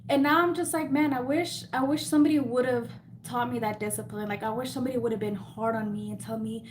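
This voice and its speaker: Woman host, slight Mexican Spanish accent, higher pitch, loose self-deprecating chat, faint hiss. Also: steady tone, fast. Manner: chatty, laughs often, self-deprecating. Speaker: beauty business host. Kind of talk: live Q&A chat.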